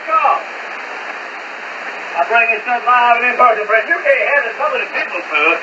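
A man's voice: very high voice, animated, moderate pace. A man preaching a fiery Pentecostal holiness sermon, screaming his exhortations and dropping suddenly into plainer talk, heard over shortwave radio. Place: heard from a shortwave receiver's speaker. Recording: noisy, muffled shortwave radio broadcast, distant.

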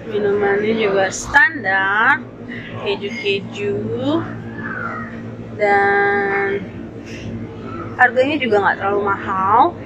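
A woman's voice: a little high, big pitch swings, slow pace, long pauses, dull audio, noisy background. A woman from Indonesia in her thirties, chatting casually through a cafe visit and slipping English phrases into her talk. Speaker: food vlogger. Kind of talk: casual vlog narration. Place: cafe and grocery shop, indoors. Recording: music playing behind the voice.

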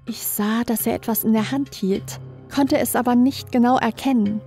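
A woman's voice: a little high, fairly even intonation, moderate pace, warm tone. A woman reading scripted first-person horror narration as a creepypasta narrator. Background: background music.

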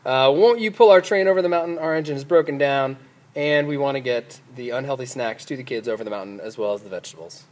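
A man's voice: mid-range voice, fast talker, natural voice. A man voicing a little toy clown in a children's story, with an eager, pleading manner.

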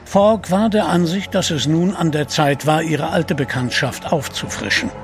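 Higher-pitched male drama narrator. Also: moderate pace, over music. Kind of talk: scripted audio drama narration.

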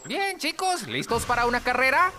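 An actor voicing a proud, fatherly penguin who thinks he is a tiger, encouraging and commanding in an animated cartoon. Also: sits high, normal speed. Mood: excited, proud. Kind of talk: animated cartoon dub acting. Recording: loud music behind the voice. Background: loud music.

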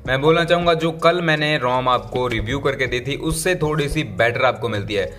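A man's voice: mid-range voice, brisk, fairly bright tone. A man giving a casual tech review walkthrough of a phone, chatty and mixing English terms into his Hindi.

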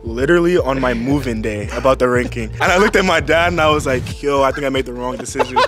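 A man's voice: medium pitch, animated, fairly quick, a bit bright, soft background music. A young man from Texas, a college student, talking casually and joking outdoors. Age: young adult. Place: outdoors on campus.

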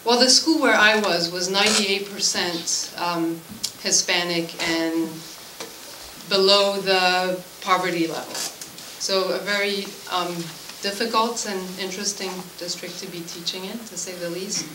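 A woman's voice: lowish voice, steady tone, a little slow. A woman in her forties from Switzerland, a native French speaker, informally introducing herself as a language teacher at a meeting. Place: school room at a committee meeting, indoors. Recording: echoey room, distant mic, background noise.

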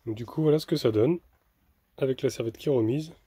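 A man's voice: mid-range voice, fast talker, mellow, slightly rough. A man vlogging casually inside a camper van, explaining a repair step by step with many restarts. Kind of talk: casual vlog narration.

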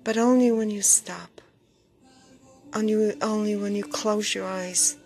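A woman guiding a meditation in a soft, breathy, near-whispered voice, soothing and unhurried, in short phrases with pauses. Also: mid-range voice, fairly even intonation. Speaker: meditation guide. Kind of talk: guided meditation. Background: background music.

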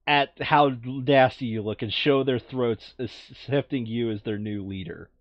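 A man plays through a board game, reading card text aloud and commenting casually with an expressive delivery. His voice sits at a middling pitch. The sound is close and dry, though somewhat dull and muffled. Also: unhurried, no room sound.

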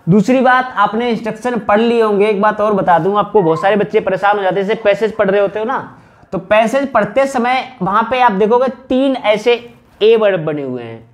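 Guy in his 30s with a pretty high voice, teaching exam prep, emphatic, talking right to students. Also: fairly quick. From northern India. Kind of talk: explanatory exam-prep lesson at a whiteboard. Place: small indoor room with whiteboard.

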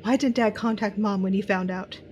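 A woman's voice: medium pitch, steady tone, normal speed, a little mellow, a little hiss or hum, natural voice. A woman playing a tense, watchful young intelligence agent, narrating her own story in an audio drama. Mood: worried. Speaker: narrator and lead character. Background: music.

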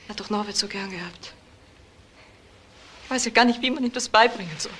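Actress as bereaved daughter, subdued and guarded, mid-pitched female voice, crime radio drama, faint noise. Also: a little fast, fairly bright tone, lightly acted. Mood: distressed, protective. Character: shaken bereaved fiancée, protective daughter. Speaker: actor.

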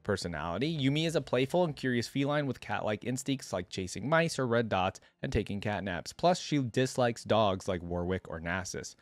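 Animated young man, chatting casually. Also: on the low side, moderate pace, hardly any pauses, dry recording. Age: young adult. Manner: chatty, opinionated, thinks aloud. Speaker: reaction video host. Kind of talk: casual reaction commentary. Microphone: close desktop microphone, headset on.

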